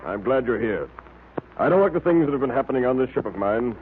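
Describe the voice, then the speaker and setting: An actor playing a worried, courteous ship's captain in a radio drama, formal and grumbling. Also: male voice, mid-range voice, animated, fast, narrow, tinny audio, natural voice. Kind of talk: 1930s-40s radio drama acting. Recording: thin, noisy vintage radio recording.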